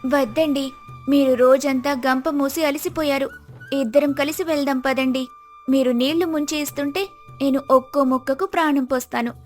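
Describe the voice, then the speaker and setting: A woman voicing a gentle, devoted, hardworking village wife in an earnest, respectful manner for a moral story. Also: high-pitched, steady tone, moderate pace, faint music behind, close to an everyday voice. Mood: concerned, earnest.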